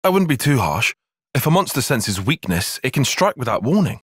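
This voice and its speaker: An actor playing a young soldier strategist in a video game, formal, bookish and wry, easily flustered. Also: male voice, mid-range voice, big pitch swings, fairly bright tone, breathy edge, dry recording, studio quality. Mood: measured, analytical. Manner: formal, measured, precise, dryly sardonic. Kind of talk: video game cutscene voice acting.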